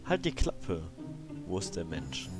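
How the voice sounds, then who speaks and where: A man voicing a grumpy, bossy monster henchman in a cartoon fandub, curt and deadpan. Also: low voice, takes it slowly, music in the background, full character voice. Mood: irritated.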